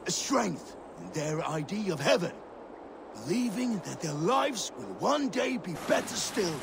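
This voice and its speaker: A musing Viking warrior tells his tale with a gravelly creak and widely swinging pitch. He is curious and earnest, a deliberate game storyteller with boat and water noise behind him. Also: big pitch swings, exaggerated voice. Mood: reflective, wondering. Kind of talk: dramatic storytelling in a video game. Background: lapping water, boat ambience.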